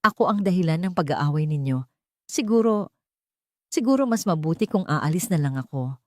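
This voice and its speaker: A woman's voice: fairly low pitch, expressive, moderate pace, no echo, clean studio sound, lightly acted. A woman voicing a scheming young woman who feigns sweet, plaintive concern in an audio story. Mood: tearful.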